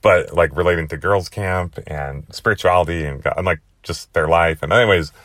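A young man with a hoarse, worn-out voice hosting his podcast in a rambling, chatty way. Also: low voice, fairly quick, a bit bright, no echo, studio quality. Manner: chatty, rambling with many fillers. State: hoarse, lost voice. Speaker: podcast host. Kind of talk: casual monologue.

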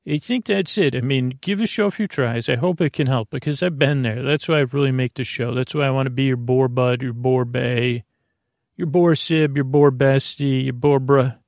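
A man hosting a sleep podcast in an improvised, meandering monologue, halting, repeating words and trailing off into goofy tangents. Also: mid-range voice, darkish tone, no room sound, studio quality. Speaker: podcast host.

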